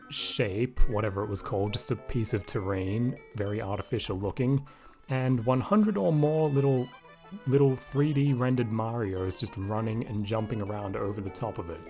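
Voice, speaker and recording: A man with a slightly raspy voice, casually commenting over gameplay into a desk microphone and thinking aloud with lots of asides. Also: medium pitch, moderate pace, over music. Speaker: video game commentator. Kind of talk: casual let's-play commentary.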